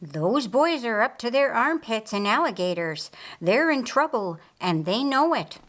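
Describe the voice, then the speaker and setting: Woman reading as an excitable, high-pitched regal queen. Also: unhurried. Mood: observant. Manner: animated, exclaiming and wondering. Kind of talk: audiobook character dialogue.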